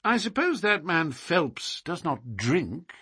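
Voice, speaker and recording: Storybook gentleman sleuth, voiced by a man. Husky, precise, philosophical, self-assured, highly melodic delivery. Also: very animated, takes it slowly, no room sound, clean studio sound, exaggerated voice. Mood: animated. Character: brilliant, musing, self-assured gentleman detective.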